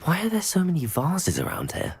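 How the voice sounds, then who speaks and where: A young actor playing a polite, curious wizard student who talks to himself in a mild, earnest way. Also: male voice, a little high, wildly swooping pitch, a little fast, vocal fry, slightly rough, heavily acted.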